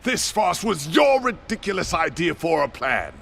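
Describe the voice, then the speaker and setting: An actor playing an arrogant, wealthy bully in a video game, haughty, impatient and sarcastic. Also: male voice, fairly high pitch, big pitch swings, normal speed, creaky, fairly bright tone, soft background music, full character voice. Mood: indignant.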